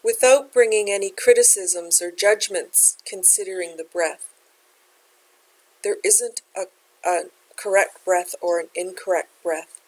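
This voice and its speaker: A woman guides a meditation in a mid-range voice. Her delivery is gentle and measured, like a teacher giving calm, step-by-step instruction. She takes her time with each phrase. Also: mid-range voice, low-quality recording.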